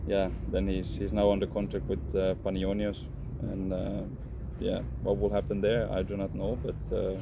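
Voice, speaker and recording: Gravelly low man. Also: moderate pace, few pauses. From Finland. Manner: calm, frank, thinks aloud with restarts. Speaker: professional footballer. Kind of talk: interview answers. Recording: noisy background.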